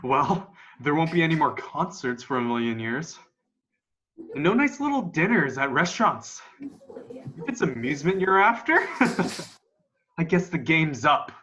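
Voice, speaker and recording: Young man acting a high-pitched, grim militia survivor. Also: big pitch swings, unhurried, long pauses, bright, forceful tone, a little hiss or hum, exaggerated voice. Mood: defiant. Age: young adult. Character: grim, defiant militia survivor of an alien invasion. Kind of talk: amateur radio play acting. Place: room at home. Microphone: video call. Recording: dull, lo-fi video call.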